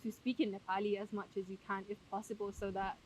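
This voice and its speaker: A woman's voice: mid-range voice, little pitch movement, fast, a little mellow, slight background noise, low-quality recording. A woman hosting a casual interview podcast, talking in a chatty way with frequent fillers. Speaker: podcast host.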